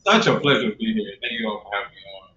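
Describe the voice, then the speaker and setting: A man's voice: lowish voice, racing. A young man from South Carolina, a talkative guest who laughs often and fills his speech with ums, heard over a video call. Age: young adult. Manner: chatty and animated, laughs often, many fillers. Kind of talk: conversational interview. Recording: echoey room, muffled audio, faint noise.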